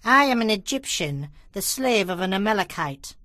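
A man's voice: high-pitched, lively intonation, moderate pace, pushed and bright, dry recording, clean studio sound. A man playing a wary captive Egyptian slave in a dramatized audio Bible. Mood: weary, frank.

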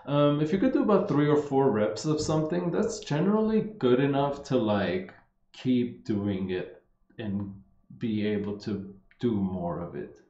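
A fitness coach in his thirties, a man with a slight Armenian accent, drawls through viewer questions in a slow, mellow, lowish voice. He rambles, restarting sentences and leaning on fillers. The sound comes through a dull, echoey earphone mic on a live stream. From Los Angeles, United States. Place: indoor room. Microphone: wired earphone mic.

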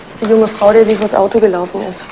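Eine Schauspielerin spielt eine schroffe, geschäftige Krankenschwester in einem Fernsehkrimi, die knapp und ungeduldig spricht. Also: female voice, mid-range voice, steady tone, close to an everyday voice. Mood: businesslike. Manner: brisk and impatient. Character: brusque, busy female hospital nurse. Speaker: nurse in a TV crime drama. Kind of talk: TV drama acting. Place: hospital treatment room. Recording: drama scene with loud room noise.

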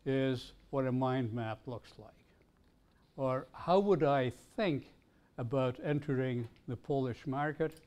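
An older man gives a lecture in a university lecture room. He speaks slowly and deliberately, in a mid-range voice, and lays out each idea with care. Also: mid-range voice, a little mellow. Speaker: business school professor.

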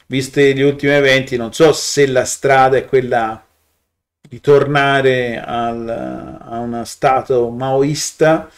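A man, giving a reflective commentary to his webcam with frequent pauses, fillers and restarts. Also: medium pitch, fairly even intonation. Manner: hesitant, many fillers and restarts, thoughtful. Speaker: video blogger.

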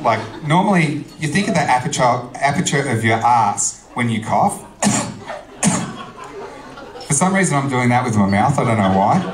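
An Australian man in his thirties doing stand-up, crude and deadpan, in a middle-pitched voice. He speaks into a handheld mic on a club stage, with a roomy echo behind him. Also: unhurried, pauses often, crowd noise. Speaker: stand-up comedian. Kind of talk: stand-up comedy set. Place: comedy club stage, indoors.

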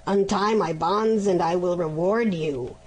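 A woman voicing a sly, scheming male griffin in a fairy tale reading, speaking low and coaxing. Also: measured pace, a little hiss or hum, close to an everyday voice, rough recording.

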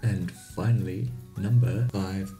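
South african guy in his 20s, low voice, chatty explaining, faint music behind. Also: steady tone, takes it slowly, dark tone. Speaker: YouTube host. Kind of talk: informal explainer vlog about languages. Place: small home bedroom.

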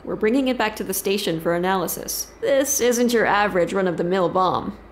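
A woman acts a seasoned female cop with a medium-pitched voice. She is brisk, curt and dry, a no-nonsense officer from an audio drama. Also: a little hiss or hum, natural voice.

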